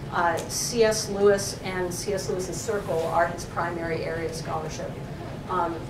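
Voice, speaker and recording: A woman professor talks through audience questions in a thoughtful way, with many fillers. She is picked up from across an echoey room, with steady noise behind her. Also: mid-range voice. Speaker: professor and co-editor. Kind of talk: library talk, answering questions. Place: library event room. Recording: echoey room, distant mic, noisy.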